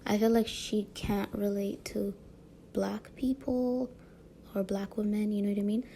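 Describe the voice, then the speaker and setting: Woman vlogger, light French accent, gravelly dark voice, rambling casual talk, faint room hiss. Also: mid-range voice, level tone, a little slow, low-quality recording. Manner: rambling, many fillers and tag questions; frequent soft laughs. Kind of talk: casual monologue to camera.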